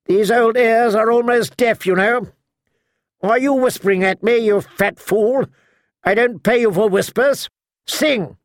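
A man voicing a sharp, imperious old noblewoman in an audiobook, blunt, commanding and mocking. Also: high voice, lively intonation, a little slow, dry recording, clean studio sound, pitched above the performer's own voice. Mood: loud, scolding. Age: older.

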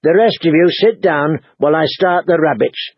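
A man's voice: fairly high pitch, normal speed, husky, dry recording, highs cut off, voice pushed up. A man voicing a stern, bossy middle-aged woman who runs an inn, talking in a blunt, commanding way.

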